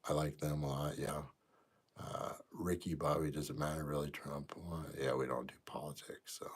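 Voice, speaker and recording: A man's voice: rumbling low, flat delivery, normal speed, breathy edge. A man in his fifties, a bit congested, casually chatting on a livestream and answering viewer comments in an easygoing, rambling way. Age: 50s. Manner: easygoing, rambling, trails off quietly. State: congested, stuffy nose. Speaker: livestream host.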